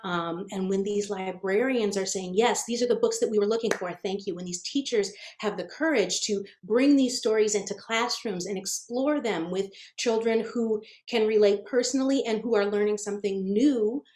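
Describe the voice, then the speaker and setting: A soft-spoken woman with a mid-range voice, talking in an introspective, gentle way. She pauses often with "um". Her voice bounces around an echoey room, over a video call. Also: mid-range voice. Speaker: children's book author on a panel. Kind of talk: panel discussion.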